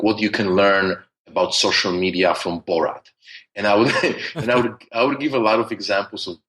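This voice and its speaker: A man's voice: deep voice, a bit bright, poor audio. A man with a thick Polish accent, talking at length as a podcast guest, with many restarts and fillers. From Poland. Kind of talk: conversational interview.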